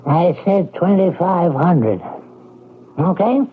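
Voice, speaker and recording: A man's voice: high-pitched, lively intonation, slow pace, breathy edge, exaggerated voice. An actor playing a sly coin dealer in an old-time radio drama, needling and impatient as he bargains. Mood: pushy haggling. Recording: muffled vintage radio broadcast recording.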